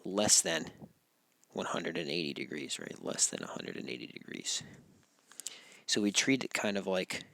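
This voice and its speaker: A male math teacher walks through geometry notes, a little hoarse because he is losing his voice. His voice is lowish and gravelly with a bright edge. He keeps it relaxed and checks in with "right?" again and again, and the sound is dry and close. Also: normal speed, pauses often, a little hiss or hum. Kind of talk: recorded classroom walkthrough.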